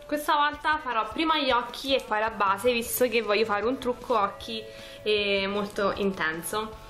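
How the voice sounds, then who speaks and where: A woman's voice: fairly high pitch, moderate pace, fairly bright tone, faint music behind. A woman, casually talking through a makeup tutorial step by step in an informal, chatty way. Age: 20s. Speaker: beauty vlogger. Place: small furnished room at home, indoors.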